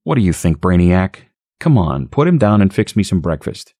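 A man voicing a surly, dismissive lout who talks in a rough, bossy way. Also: deep voice, very animated, moderate pace, warm tone, dry recording, clean studio sound. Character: surly, hungover lout, the boyfriend.

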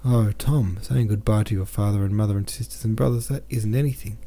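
A man's voice: lowish voice, steady tone, fairly quick, mellow, natural voice. A man reading the lines of a grieving, desperate young woman in a novel, pleading and tearful.

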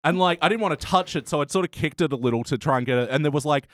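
A man's voice: a little high, very fast, fairly bright tone, dry recording, studio quality. An Australian man hosting a casual review podcast with a wry, chatty manner. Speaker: podcast host. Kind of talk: casual comedy review chat.

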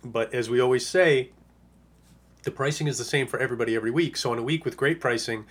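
Hoarse man rambling about fantasy picks. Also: medium pitch, fast. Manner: rambling, lots of uh and you know. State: hoarse, losing his voice. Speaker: fantasy football analyst. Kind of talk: casual podcast monologue.

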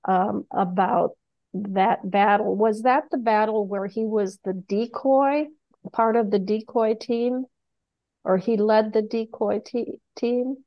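Female discussion participant, unhurried and halting, mid pitch, dull lo-fi sound. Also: steady tone, dry recording. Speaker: book club participant. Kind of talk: casual group discussion. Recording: dry, dull lo-fi audio.

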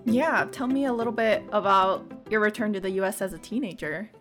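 An upbeat young woman hosting a podcast, her voice at an ordinary middle pitch. She sounds friendly and presenter-like as she leads the interview. Soft music plays faintly behind her. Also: animated, a little fast. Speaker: podcast host.

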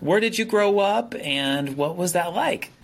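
A man's voice: high voice, animated, a little slow, creaky. A man hosting a podcast, friendly and chatty, often restarting his sentences. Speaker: podcast host. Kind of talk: casual interview chat.

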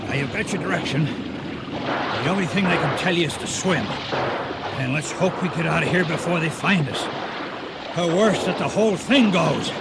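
Gravelly sea captain, loud background. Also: male voice, a little high, normal speed. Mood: tense, commanding. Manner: terse, commanding. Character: gruff, commanding ship captain under pressure. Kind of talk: radio drama acting. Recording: very noisy sea and battle effects.

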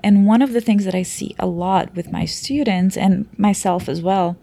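Gravelly-voiced woman, native portuguese speaker. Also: mid-range voice, normal speed, dark tone, no echo. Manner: explanatory, frequent "right?" tags. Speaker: teacher, guest. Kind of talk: conversational interview.

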